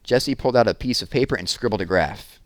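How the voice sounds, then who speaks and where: A man's voice: on the low side, fast, no echo. A man reading a children's book aloud as a storyteller.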